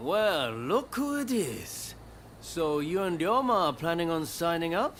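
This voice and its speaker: An actor playing a genial but harried samurai overseer, polite and a little hesitant, in a game cutscene. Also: male voice, on the high side, sing-song, a little slow, fairly bright tone, slight background noise, heavily acted. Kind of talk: scripted game cutscene acting.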